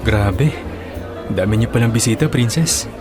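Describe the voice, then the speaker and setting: A man's voice: lowish voice, normal speed, music in the background, a crowd in the background, natural voice. A man acting a jealous, insecure young boyfriend in a Filipino radio drama. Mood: self-conscious.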